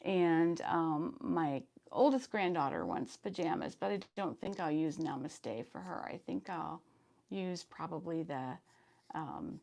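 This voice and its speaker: A woman in her fifties hosting a sewing live stream, chatting casually and reading viewer comments, her voice hoarse today. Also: low-pitched, darkish tone. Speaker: sewing channel host.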